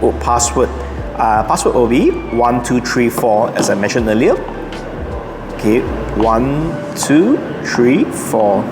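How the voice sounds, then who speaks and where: A man's voice: mid-range voice, lively intonation, takes it slowly, music in the background. A man hosts a casual, unscripted setup guide, talking himself through each step in short instructions. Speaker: host of a car channel giving a setup guide.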